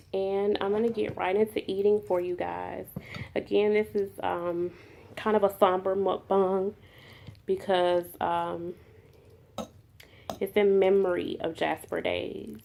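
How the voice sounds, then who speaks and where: Soft-spoken woman, nasal with a cold. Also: on the low side, creaky, slight background noise. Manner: soft-spoken, many ums, unhurried. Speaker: mukbang vlogger. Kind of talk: casual talk to camera.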